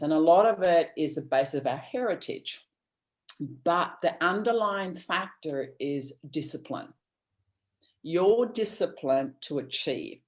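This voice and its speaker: A woman's voice: low-pitched, a little mellow. A woman from Australia, giving an earnest, rambling motivational talk with many restarts over a video call. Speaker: guest speaker.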